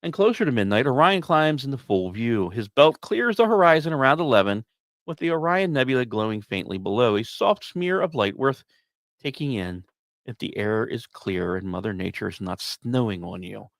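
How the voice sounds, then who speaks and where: Guy doing a space podcast, really lively up-and-down delivery, wry jokey asides, dry close sound. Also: mid-range voice, normal speed, creaky, no echo. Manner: wry, self-deprecating humor. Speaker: podcast host. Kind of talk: scripted science news narration with casual joking asides.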